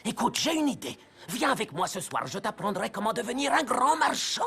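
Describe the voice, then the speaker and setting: A gravelly scheming sorcerer. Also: male voice, very high voice, big pitch swings, brisk, sharp tone, exaggerated voice. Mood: sly. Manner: wheedling, falsely affectionate, then harsh. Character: scheming sorcerer posing as long-lost uncle. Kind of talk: French cartoon dub. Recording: music under dialogue.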